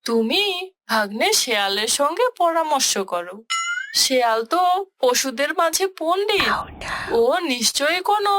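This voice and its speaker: A woman's voice: sits high, animated, a little slow, fairly bright tone, no echo. A woman voicing a sarcastic, nagging, practical tigress wife in a children's cartoon. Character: sharp-tongued, practical tigress wife scolding her husband. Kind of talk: children's animated story acting.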